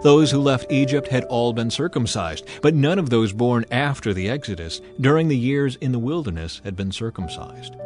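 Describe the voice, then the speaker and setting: Male narrator, mid-pitched, for dramatized scripture. Solemn, declamatory storytelling with music underneath. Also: a little mellow. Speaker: narrator of a dramatized audio Bible. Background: background music.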